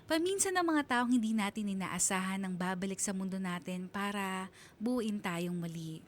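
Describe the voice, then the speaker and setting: A woman's voice: mid-range voice, animated, moderate pace, lightly acted. An actress playing a sentimental married mother with a Filipino accent, narrating her own love story in a heartfelt, reflective way for a radio drama.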